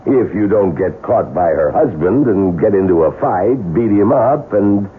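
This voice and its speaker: An actor playing a cornered, scheming impostor in an old radio drama, halting and evasive as he repeats his words. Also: on the low side, expressive. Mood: guarded, stubborn. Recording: muffled, noisy 1970s radio recording.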